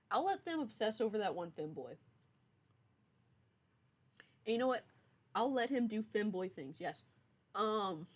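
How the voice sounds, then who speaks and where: A young adult woman streamer chatting casually with her viewers in a playful, teasing, mock-stern way. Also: mid-range voice. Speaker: streamer, game writer. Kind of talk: casual livestream chat.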